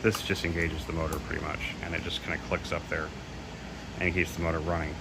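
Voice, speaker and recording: A man with a very deep-pitched voice talks through a piece of equipment off the cuff. His delivery is loose and a little unsure, like an informal walkthrough. The homemade recording has clearly audible noise behind him. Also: level tone, fairly quick. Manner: hesitant, loose, admits gaps in knowledge. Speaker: presenter demonstrating audio equipment. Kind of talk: casual unscripted product demo. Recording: clearly noisy home recording.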